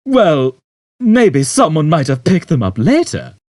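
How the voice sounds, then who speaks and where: A man's voice: a little high, huge pitch swings, a little slow, darkish tone, no echo, clean studio sound, pitched above the performer's own voice. A man voicing a conceited, irritable male space fugitive in an audiobook, with a complaining, emphatic manner. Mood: evasive.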